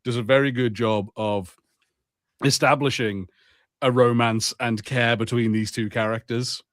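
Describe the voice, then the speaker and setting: A man's voice: medium pitch, fairly even intonation, a little slow, no room sound, clean studio sound. A man co-hosting a podcast, chatting casually about films and shows with wry, jokey asides. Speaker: podcast co-host. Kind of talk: casual film banter.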